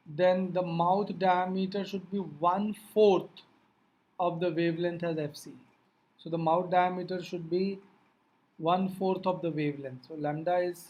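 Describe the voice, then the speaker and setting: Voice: medium pitch, a little mellow. A physics teacher giving an explanatory lecture, addressing learners directly with reminders in a didactic way.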